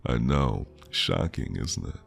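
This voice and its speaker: A man acting as a flirtatious male stranger charming someone in an ASMR romance roleplay. His voice is extremely low and heavily fried, drawn out slowly and teasingly. He sounds smooth-talking and intimate. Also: lively intonation, faint music behind, full character voice. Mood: playful, coaxing. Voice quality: deep, gravelly.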